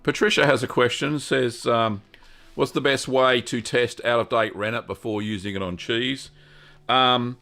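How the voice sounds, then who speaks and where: A man's voice: medium pitch, fairly even intonation, moderate pace, bright, forceful tone. An older man hosting a live-stream Q&A, talking in a rambling, friendly way with lots of ums. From Australia. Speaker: host answering cheese-making questions.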